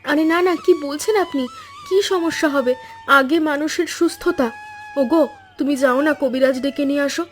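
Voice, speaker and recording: A woman's voice: sits high, normal speed, soft background music, close to an everyday voice. A woman playing a caring, practical village wife in a cartoon story, with a consoling manner. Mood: concerned.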